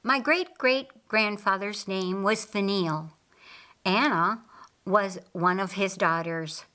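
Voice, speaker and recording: A woman voicing a kindly, reflective master of a house in an audiobook story, earnest and deliberate. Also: mid-range voice, sharp tone. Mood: earnest, recounting.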